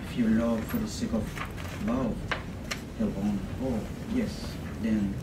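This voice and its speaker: A man's voice: fairly low pitch, little pitch movement, slow pace. A man reading poetry aloud at a podium in a college room. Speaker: poetry contest reader. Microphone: podium microphone, filmed from the audience. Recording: echoey room, distant, clear background noise.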